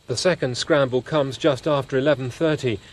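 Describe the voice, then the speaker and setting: A man's voice: medium pitch, a little fast. A man with a British accent delivering scripted news narration as a television reporter.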